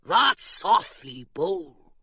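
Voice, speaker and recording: A woman voicing a burly, bored male pirate guarding a door, drawling in slow, old-fashioned pirate talk. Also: fairly low pitch, very animated, pushed and bright, husky, dry recording, heavily acted. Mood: gruff.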